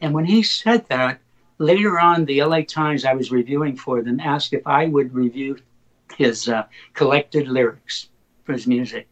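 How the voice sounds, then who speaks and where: Older poet telling lively anecdotes. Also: male voice, medium pitch, normal speed, poor audio. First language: Slovak. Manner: animated anecdotal storyteller, slips into excited retellings. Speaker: poet guest. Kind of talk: interview storytelling.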